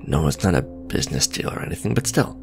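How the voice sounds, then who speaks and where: A man's voice: extremely low pitch, lively intonation, fast talker, creaky, over music, full character voice. A man playing an awkward, blunt supervillain in a romantic ASMR roleplay, speaking in a hesitant, earnest, conversational way. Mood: reassuring, casual. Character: male supervillain, awkward, blunt, businesslike on a blind date. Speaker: voice actor.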